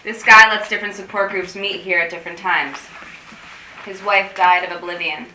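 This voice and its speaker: An actress in a staged reading playing an anxious, wry, guarded support group organiser, rambling and awkwardly correcting herself. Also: female voice, on the low side, fairly even intonation, moderate pace, sharp tone. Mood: wistful. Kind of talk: staged play reading, naturalistic dialogue. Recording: roomy, distant mic with faint noise.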